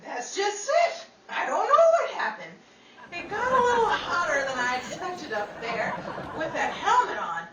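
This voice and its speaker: A woman's voice: extremely high pitch, sing-song, normal speed. Lady acting brash scatterbrained 30s gal in a radio comedy, echoey distant mic, noisy crowd behind. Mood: exasperated. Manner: brash, exclamatory, wisecracking. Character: Betty, bossy, scatterbrained 1930s Hollywood radio newswoman. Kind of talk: 1930s-set comedic radio play acting. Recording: roomy distant mic, noisy audience hall.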